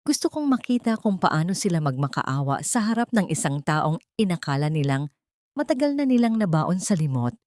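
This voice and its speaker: A woman voicing a composed, vengeful female executive, speaking in a measured, icy and formal way for an audio drama. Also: on the low side, big pitch swings, darkish tone, no echo, clean studio sound. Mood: cold resolve. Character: cold, powerful vengeful businesswoman, composed and threatening.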